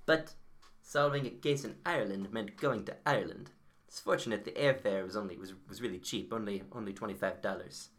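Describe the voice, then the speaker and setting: Guy doing a hapless detective in a comedy podcast, bright forward voice, super polite, keeps stammering and repeating himself. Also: fairly low pitch, close to an everyday voice. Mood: narrating, wry. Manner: halting, stammering, repeats words. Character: hapless, over-polite American detective bluffing undercover. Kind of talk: comedic first-person detective story and sketch.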